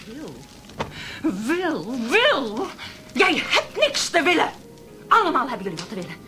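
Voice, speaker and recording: A bitter, high-voiced lonely woman. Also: wildly swooping pitch, measured pace, exaggerated voice. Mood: bitterly mocking. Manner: brittle and intense, mimics others mockingly. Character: bitter, lonely woman resentful of caring for her brother. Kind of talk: radio play acting.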